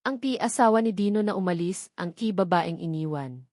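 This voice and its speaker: A woman's voice: fairly low pitch, normal speed, a little mellow, no echo. Filipino woman narrating a dramatic story, dry sound.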